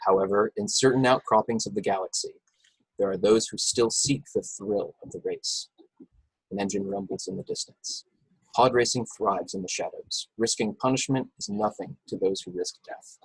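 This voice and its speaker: A man's voice: lowish voice, normal speed, vocal fry, slightly rough, dry recording, low-quality recording. A man reading scripted action descriptions as narrator in an informal, unpolished way on an amateur online table read. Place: video call from a room.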